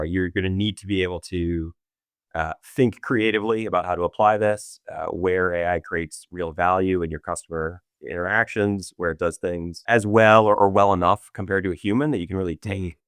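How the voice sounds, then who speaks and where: A man being interviewed as a business executive, explaining his views in an animated way with many restarts. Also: low voice, clean tone, no room sound, clean studio sound. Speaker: guest, revenue operations executive. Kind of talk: casual interview chat.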